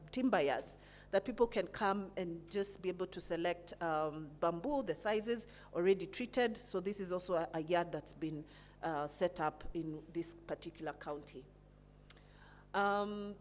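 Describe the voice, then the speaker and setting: A woman presents to a conference at a middling pitch. She moves in halting phrases, often pausing to find the next word. A clear hum and noise sit behind her. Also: darkish tone. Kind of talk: presentation with slides.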